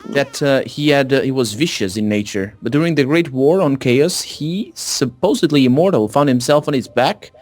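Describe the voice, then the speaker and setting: A man hosting a co-op let's play, chatting casually and reading dialogue options aloud in a halting, conversational way. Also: mid-range voice, lively intonation, darkish tone.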